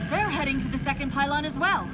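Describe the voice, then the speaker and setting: A woman playing a sharp-witted military AI companion in a video game, speaking in a brisk, urgent, instructive manner. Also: high voice, heavy background noise, close to an everyday voice. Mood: focused, urgent. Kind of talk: video game cutscene acting. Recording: loud music and effects behind.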